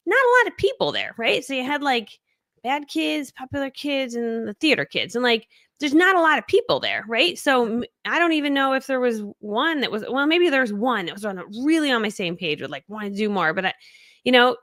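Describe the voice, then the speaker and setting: A woman's voice: fairly high pitch, big pitch swings, fairly quick, fairly bright tone, no echo, studio quality. A woman guest in a casual interview, chatting in a bubbly way with frequent laughs and fillers. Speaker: guest speaker.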